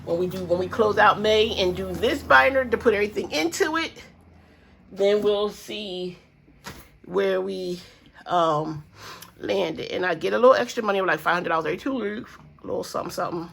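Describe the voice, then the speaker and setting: A woman's voice: mid-range voice, animated, moderate pace, gravelly, fairly bright tone, slight background noise. A woman with a stuffy nose chatting casually to viewers about her budget, rambling with fillers and calling them guys and y'all. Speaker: budgeting vlogger. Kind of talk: casual chat to camera.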